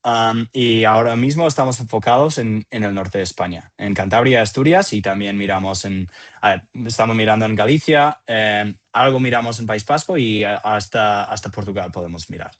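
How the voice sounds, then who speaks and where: A man in his thirties with an American accent and English as his first language, answering interview questions in halting phrases with repeated words. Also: lowish voice. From Atlanta, southeastern United States. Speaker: company founder and CEO, interview guest.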